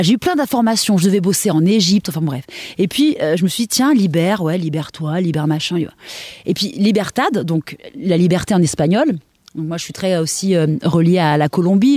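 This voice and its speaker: A woman's voice: on the low side, expressive, mellow, breathy edge, dry recording. Woman in a dry, close recording. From Portuguese family background. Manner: earnest, halting, thinking aloud. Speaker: interview guest, dancer and choreographer. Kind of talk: candid personal account.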